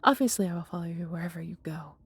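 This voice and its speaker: A woman voicing a huge, proud, protective female dragon in a fantasy audio roleplay, with breathy, husky delivery and tender, formal, theatrical phrasing. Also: lowish voice, racing, no room sound. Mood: chiding.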